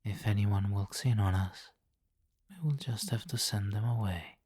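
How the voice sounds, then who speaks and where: Man voicing seductive vampire king, ASMR roleplay, unhurried, husky and breathy, possessive, teasing, close dry mic. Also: on the low side, a little mellow, dry recording. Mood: teasing, amused. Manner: slow, teasing, commanding, often dropping into breathy whispers. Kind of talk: intimate ASMR roleplay acting. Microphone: close microphone, dry.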